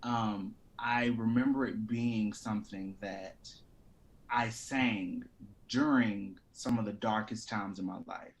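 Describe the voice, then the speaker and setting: A man speaking as an actor being interviewed, candid, reflective and expressive in casual conversation. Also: fairly low pitch, slow pace.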